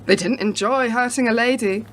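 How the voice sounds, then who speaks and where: A woman playing a bitter, wary, traumatised spy in a radio play, speaking in halting, clipped phrases. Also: on the high side, natural voice. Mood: bitter, haunted. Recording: very noisy local radio play recording.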